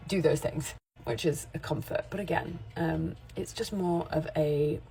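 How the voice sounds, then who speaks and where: A woman's voice: deep voice, creaky, dark tone, low-quality recording. A woman in her forties, a makeup artist talking candidly to camera in a rambling, self-correcting way. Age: 40s. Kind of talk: casual chatty vlog.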